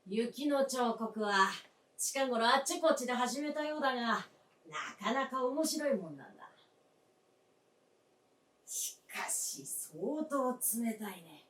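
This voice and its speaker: A man playing a talkative grown son and husband in a staged radio drama, familiar and gently bossy. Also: very high voice, animated, takes it slowly, heavily acted. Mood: musing. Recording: echoey stage, distant microphone.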